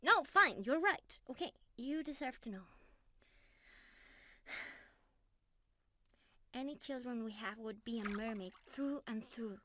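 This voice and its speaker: A woman's voice: on the high side, lively intonation, takes it slowly, pauses often, exaggerated voice. A woman playing a shy, sweet young mermaid in love, speaking earnestly to one listener in an ASMR romance roleplay. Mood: flustered, embarrassed. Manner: earnest, bashful, explaining gently to one listener.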